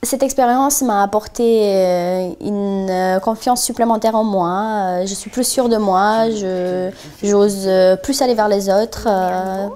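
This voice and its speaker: Soft-toned young woman from Bosnia. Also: medium pitch, steady tone. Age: 20s. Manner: earnest, with frequent pauses and fillers. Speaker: student intern. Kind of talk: documentary interview.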